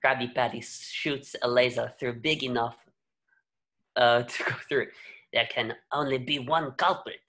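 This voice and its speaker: A man reads out stage directions as narrator. His voice sits at a medium pitch, describing the action from a script. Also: steady tone, a little slow, sharp tone.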